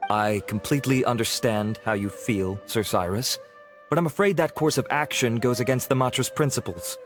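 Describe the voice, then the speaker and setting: A man's voice: mid-range voice, moderate pace, soft background music, lightly acted. Formal, courteous male investigator played by an actor. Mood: polite. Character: formal, dutiful male investigator, polite and measured. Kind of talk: video game voice acting.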